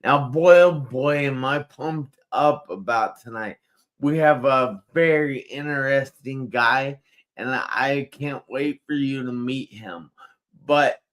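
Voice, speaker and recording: A man hosting a live online talk show, speaking slowly and emphatically and repeating words for effect. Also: mid-range voice, fairly bright tone. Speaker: host. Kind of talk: live-stream show host.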